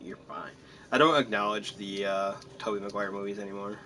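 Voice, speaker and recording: A man chatting casually as he streams a game, joking and rambling in an animated way. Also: fairly low pitch, a little fast, slight background noise. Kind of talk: casual gameplay commentary and chat.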